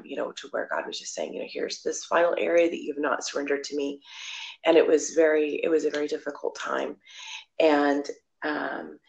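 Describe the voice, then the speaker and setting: Low, gravelly-voiced woman missionary. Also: poor audio. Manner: candid, rambling, many fillers and restarts. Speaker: missionary and blogger. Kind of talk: personal testimony in conversation.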